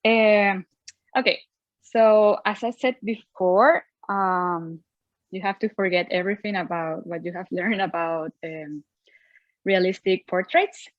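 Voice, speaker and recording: A woman's voice: medium pitch, takes it slowly, no room sound. Young venezuelan woman, spanish accent, cheery art teacher, laughs a lot, dry muffled call audio. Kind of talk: online drawing class.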